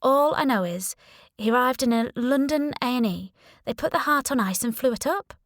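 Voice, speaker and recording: Casting: woman playing a motherly audiobook nurse, kindly and soothing, pitched up, dry sound. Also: lively intonation, normal speed, no room sound. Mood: apologetic. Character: short, mumsy nurse, kindly and confiding.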